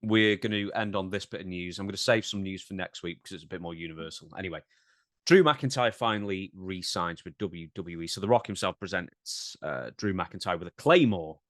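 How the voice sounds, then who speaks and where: A man's voice: deep voice, fairly quick, dry recording, studio quality. A man co-hosting a podcast, reading out news and discussing it in a casual, slightly hesitant, questioning way. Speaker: podcast co-host.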